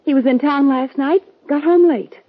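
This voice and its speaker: A woman's voice: high voice, moderate pace, lightly acted. An actress playing a worried, caring young frontier woman in a 1950s western radio drama. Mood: nervous, guarded. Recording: tinny, noisy old radio recording.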